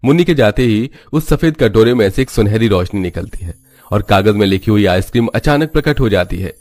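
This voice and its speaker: A man's voice: lowish voice, lively intonation, a little fast, darkish tone. A man narrating a scripted cartoon story as the storyteller.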